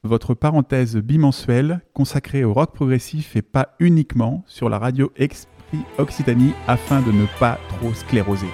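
A man hosting a radio music show, presenting in a measured, scripted way and slowing down on key phrases. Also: mid-range voice. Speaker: radio host. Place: radio studio.

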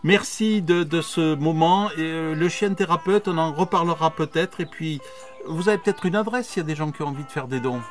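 Male radio host from France, relaxed chat, trailing hesitant fillers. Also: on the high side, fairly quick, soft background music. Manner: conversational, chatty, hesitant fillers. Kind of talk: radio interview.

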